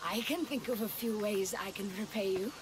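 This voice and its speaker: A woman's voice: mid-range voice, moderate pace, close to an everyday voice, low-quality recording. A woman playing a bold, fierce young warrior from a Greek village in a video game cutscene. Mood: relieved, teasing. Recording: loud music behind the voice.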